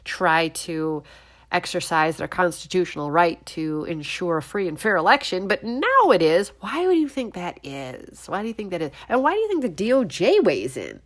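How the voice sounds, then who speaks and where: A woman's voice: on the low side, moderate pace. Congested, nasal woman ranting animatedly. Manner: animated, swings from muttering to loud outbursts. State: congested, sniffling. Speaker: podcast host. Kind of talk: casual opinion monologue.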